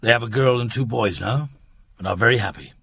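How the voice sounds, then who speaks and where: An actor playing a shrewd male chief inspector in a radio drama, with a gravelly husky voice and measured, dry delivery. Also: medium pitch, close to an everyday voice. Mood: brisk, kindly.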